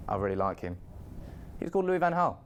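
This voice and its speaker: A man's voice: on the low side, sing-song, fast talker. A man arguing football as a pundit, telling it dramatically and talking over the others. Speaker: Manchester United fan pundit. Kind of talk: heated football debate.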